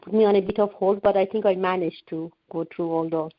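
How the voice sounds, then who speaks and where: A woman's voice: medium pitch, steady tone, fairly quick. A woman in her forties from Iran, a guest author answering radio interview questions earnestly, with frequent restarts. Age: 40s. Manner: earnest, many restarts and fillers. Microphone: phone line. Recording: dull lo-fi phone-line sound.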